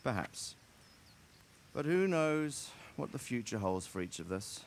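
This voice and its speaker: An actor playing a stately middle-aged medieval lord who speaks in a measured, noble way. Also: male voice, mid-range voice, big pitch swings, crowd noise.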